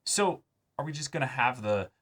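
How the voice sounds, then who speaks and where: A man co-hosting a reaction show in casual chat, expressive and given to exclaiming. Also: mid-range voice, moderate pace.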